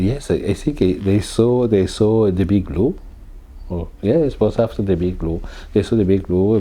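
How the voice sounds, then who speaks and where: Slow, deep-voiced Swiss man searching for words. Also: mellow. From Italian-speaking Switzerland. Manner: halting, searching for English words, frequent uh fillers. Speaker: cinematographer. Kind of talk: recorded interview.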